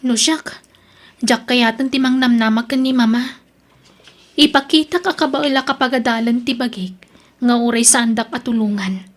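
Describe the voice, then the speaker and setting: Actress as a high-voiced, proud young Ilocano woman student. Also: moderate pace, long pauses, close to an everyday voice. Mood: earnest, resolute. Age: young adult. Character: proud, determined young woman student estranged from her mother. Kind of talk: audio drama acting.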